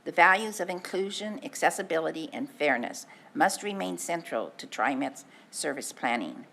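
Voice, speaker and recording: A low-pitched woman reads a formal letter aloud at a public board meeting. Her reading is earnest and measured, with the odd stumble. A faint hiss sits under her voice. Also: animated, gravelly, a bit bright. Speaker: advisory committee chair.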